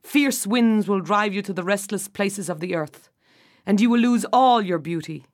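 A woman voicing a grieving, wrathful king in a storybook tale, speaking loud and commanding. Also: on the high side, moderate pace, vocal fry.

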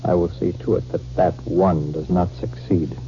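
Smooth army captain, muffled old radio. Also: male voice, deep voice, steady tone, normal speed, mellow, faint music behind. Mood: cold, conspiratorial. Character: smooth, duplicitous army captain secretly spying for Mars. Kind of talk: 1950s radio drama acting. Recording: muffled, hissy 1950s radio recording.

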